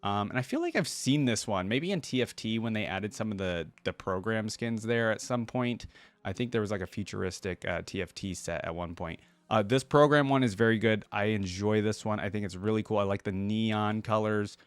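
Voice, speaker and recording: A man giving casual reaction commentary as a video host, talking informally and thinking out loud. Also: lowish voice, brisk, no room sound.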